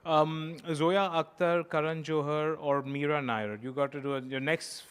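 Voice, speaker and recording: A man from India hosting a rapid-fire interview, quizzing his guest briskly and repeating words as he gets going. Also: on the high side, fairly even intonation, fairly bright tone, smooth.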